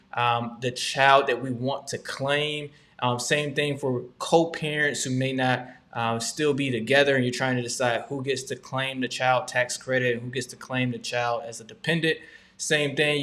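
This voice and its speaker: A man's voice: medium pitch, a bit bright. A man from Georgia hosting a live question-and-answer stream, explaining updates in an upbeat, conversational way with frequent ums. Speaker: host.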